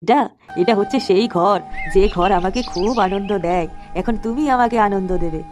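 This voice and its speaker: A woman voicing a boastful, crude male gang boss villain in a homemade cartoon, with loud background music behind her. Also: medium pitch, normal speed, close to an everyday voice. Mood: gloating. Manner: gloating, mocking, crude.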